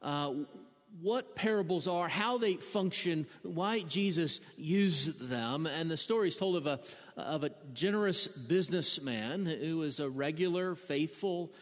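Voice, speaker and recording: A man's voice: on the high side, measured pace, big-room sound. A man preaching a sermon as the pastor, often stammering and repeating words as he explains scripture. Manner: frequent stammered word repeats.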